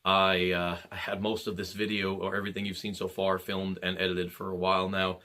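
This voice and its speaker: A man talks candidly to his viewers, earnest and a little halting. His voice sits low and sounds worn from a recent illness. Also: little pitch movement, pushed and bright. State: recovering from illness, voice altered. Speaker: channel host. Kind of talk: casual video address.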